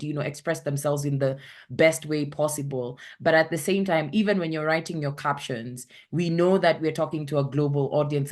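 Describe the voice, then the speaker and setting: A woman with a Kenyan accent, a content creator talking casually on an online panel, often searching for the right word. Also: deep voice. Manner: chatty, searches for words, acts out anecdotes.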